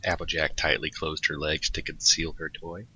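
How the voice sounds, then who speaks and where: A man's voice: extremely low pitch, flat delivery, normal speed, pushed and bright, breathy edge. A man narrating a scripted story aloud as a co-host. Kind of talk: scripted fan fiction narration.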